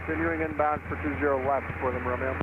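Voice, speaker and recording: Through a noisy, narrow radio link, a male pilot makes position calls. His voice is slightly high and he rattles the calls off quickly. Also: fairly even intonation. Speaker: pilot calling the tower. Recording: tinny, noisy aviation radio.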